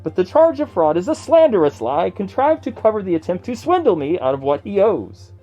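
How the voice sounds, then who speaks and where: An indignant horse trader of the nineteenth century, acted by a man, declaims his grievance with scornful theatrical flair. His fairly high voice swings wildly up and down and has a husky, mellow edge. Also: sing-song, a little fast, dull audio, faint music behind. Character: indignant nineteenth-century horse seller hurling accusations of fraud. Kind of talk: dramatic reading of old newspaper notices.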